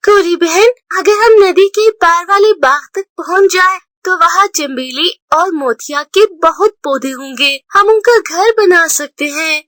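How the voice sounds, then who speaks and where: Woman voicing a high-pitched kindly mother bird. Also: a little slow, few pauses, fairly bright tone, no echo, studio quality. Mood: hopeful. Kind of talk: Hindi children's cartoon dubbing.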